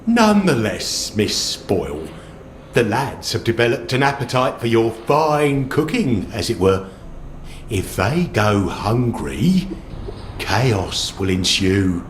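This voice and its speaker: A stern British constable in a roomy, echoing game scene with some background noise. He speaks slowly and pompously, each phrase measured and faintly threatening. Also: medium pitch, sing-song. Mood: menacing. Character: stern, formal, quietly menacing police constable. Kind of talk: video game character acting.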